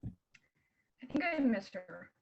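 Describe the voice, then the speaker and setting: A woman's voice: a little high, big pitch swings, very slow, creaky, highs cut off, a little hiss or hum, full character voice. A woman reading a wistful, candid, teasing teenage girl in plain-spoken screenplay table read dialogue.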